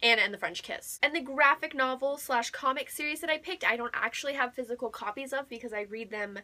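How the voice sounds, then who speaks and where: A woman's voice: sits high, fast talker, bright, forceful tone. A young woman chatting casually as a book vlogger, gushing and emphatic, often repeating words for emphasis. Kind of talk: casual chat to camera.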